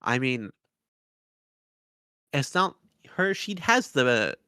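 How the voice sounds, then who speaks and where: A man's voice: medium pitch, sing-song, drawn-out words, sharp tone, clear, resonant tone, no echo, clean studio sound. A man co-hosting a podcast in casual conversation, hesitant and often searching for words or trailing off. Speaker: podcast co-host. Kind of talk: casual review discussion of an audio drama.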